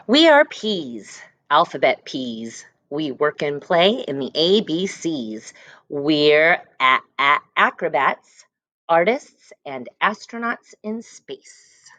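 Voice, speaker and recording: A woman's voice: low voice, big pitch swings, takes it slowly, a bit bright, no echo, dull audio. A woman teacher reading a picture book aloud to young children, stressing words and letter sounds and adding short explanations. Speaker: elementary school teacher reading aloud to class.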